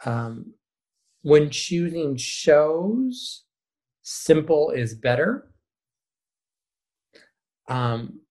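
A man's voice: medium pitch, darkish tone. Male theater director in casual interview talk. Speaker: high school theater director being interviewed.

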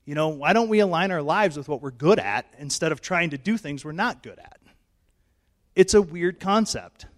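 Hoarse man preaching a chatty sermon. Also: on the high side, expressive, moderate pace. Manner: chatty, self-joking, conversational. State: hoarse, losing his voice. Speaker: pastor. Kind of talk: informal sermon. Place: church. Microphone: handheld microphone.

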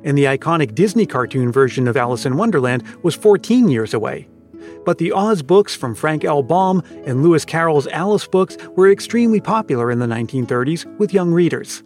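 A man's voice: fairly high pitch, animated, brisk, a little mellow, soft background music. A man hosting a podcast with scripted narration. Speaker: podcast host.

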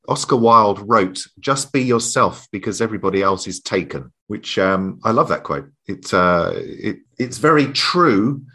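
A man co-hosting a podcast, hoarse and gravelly from being ill, speaking in a hesitant, searching way. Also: medium pitch, bright, forceful tone. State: hoarse from illness. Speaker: podcast co-host. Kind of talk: casual reflective chat.

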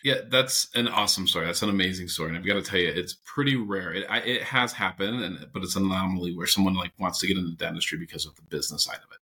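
A man's voice: low voice, fast, hardly any pauses, pushed and bright. A man hosting a dental podcast, chatty and enthusiastic as he interviews a guest. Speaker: podcast host.

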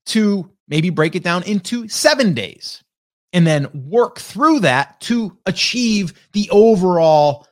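A man in his forties giving a casual motivational talk as a marketing coach, often checking in with his listeners with "okay?" and "right?". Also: sits high. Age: 40s.

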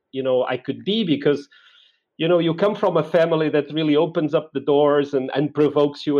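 A man's voice: on the high side, highs cut off. A man in his forties from Portugal with a Portuguese accent, telling personal stories in a reflective, conversational way as an interview guest. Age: 40s.

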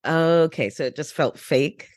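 A woman's voice: low-pitched, measured pace, darkish tone, no room sound, studio quality. A woman hosting a podcast, coaching and interviewing in a conversational style. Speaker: podcast host, business English coach.

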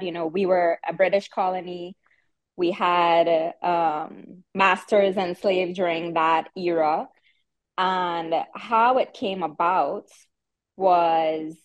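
A woman in her thirties speaks with a strong Trinidadian accent and a slightly low voice. She explains things in an easy, conversational way, often repeating a word as she gathers her thoughts. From Trinidad and Tobago. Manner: explanatory, relaxed, repeats words while thinking. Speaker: podcast guest. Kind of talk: casual interview chat.

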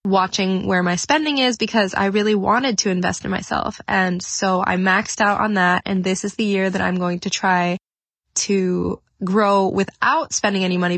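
A woman's voice: mid-range voice, a bit bright, no echo. A woman hosting a solo podcast, talking earnestly and upbeat with a congested, stuffy voice and frequent fillers. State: congested from a cold. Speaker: podcast host.

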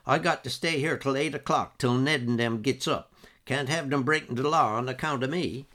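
A man's voice: mid-range voice, normal speed, a bit bright, natural voice. A man voicing a wiry, stubborn old fisherman in his nineties with a sly, grumbling manner. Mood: gruff. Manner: sly, cantankerous, dialect speech.

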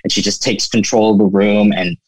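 A man's voice: lowish voice, flat delivery, fairly quick, no echo. A young man who grew up in the United States, telling his story informally as an interview guest, often saying "you know.". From United States, born in Turkey. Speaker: interview guest, flooring business owner. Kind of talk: casual personal storytelling.

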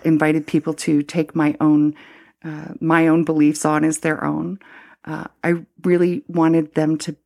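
A middle-aged woman from Canada speaks in a low, slightly gravelly voice. She talks reflectively and haltingly, circling back with fillers and restarts as she works through her thoughts. It sounds like a thoughtful interview conversation. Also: a little mellow. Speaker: former Anglican priest, guest.

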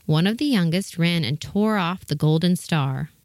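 A woman reading a scripted children's story as narrator, in a measured, expressive manner. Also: on the low side, no room sound, clean studio sound.

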